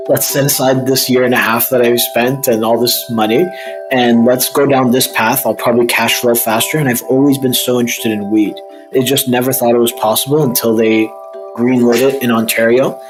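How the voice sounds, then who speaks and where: A man's voice: medium pitch, rough recording. Casual male interviewee, faint music behind. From Egypt, by birth. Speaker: interview guest, retail store owner.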